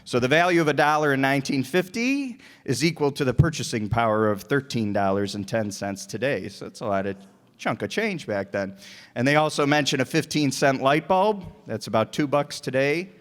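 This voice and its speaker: A man introduces a radio play as its host in a chatty, live-stage way. His voice sits at a medium pitch and carries long reverb from the hall. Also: animated, normal speed, fairly bright tone.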